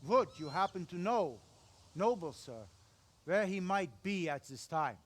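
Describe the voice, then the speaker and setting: An actor playing a haughty noble knight and royal envoy in a video game, declaiming in a formal manner. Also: male voice, sits high, lively intonation, unhurried. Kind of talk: scripted video game cutscene acting.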